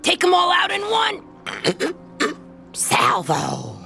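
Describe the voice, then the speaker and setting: A high, creaky little pig in an audio drama, gleeful and trigger-happy. The delivery is slow, relishing and wildly swooping. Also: female voice, wildly swooping pitch, full character voice. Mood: gleeful menace. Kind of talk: audio drama table read acting.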